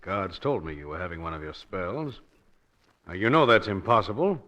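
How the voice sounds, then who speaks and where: An actor playing a stern, stubborn warden with a clipped, firm manner in 1940s radio drama. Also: male voice, low voice, moderate pace, a bit bright, highs cut off. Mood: brusque. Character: stern, stubborn, authoritative male prison warden. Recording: faintly noisy old radio recording.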